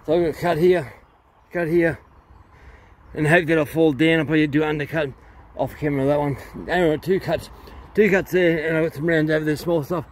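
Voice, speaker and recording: A man's voice: on the high side, fairly even intonation, fairly quick. A middle-aged man talking casually and plainly as he presents his own unscripted hobby video outdoors. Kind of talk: casual unscripted vlog talk. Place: outdoors in an open wood yard. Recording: noisy outdoor recording with ambient hum.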